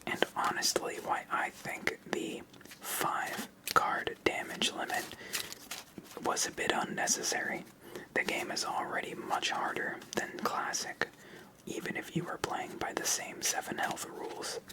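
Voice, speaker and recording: Hushed ASMR whisper, explaining step by step. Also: low-quality recording. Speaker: host playing a solitaire card game. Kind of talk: ASMR whispered game commentary.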